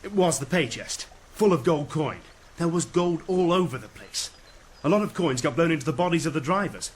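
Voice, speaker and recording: An actor playing an eager young army officer in a radio drama, reporting in a breezy, matter-of-fact, deferential manner. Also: male voice, on the high side, lively intonation, brisk, a little hiss or hum, close to an everyday voice. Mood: breezy, amused.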